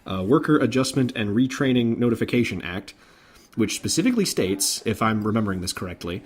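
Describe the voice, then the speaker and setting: A man's voice: medium pitch, slightly rough. A man hosting a podcast, recounting news in an informal, conversational way. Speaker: podcast host. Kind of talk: casual podcast chat about game industry news.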